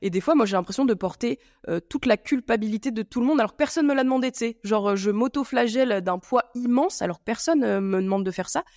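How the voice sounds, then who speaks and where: A woman's voice: a little high, quick pace, hardly any pauses, darkish tone, no echo, studio quality. A woman hosting a podcast, chatting casually and rambling with many fillers and restarts. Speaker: podcast host. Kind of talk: casual chat.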